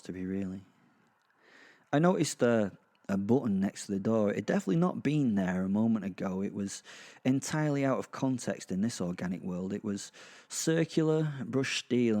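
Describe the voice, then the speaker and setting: A British man narrating a darkly comic audio drama in the first person, with wry, conversational, halting asides. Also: fairly low pitch, gravelly, dark tone.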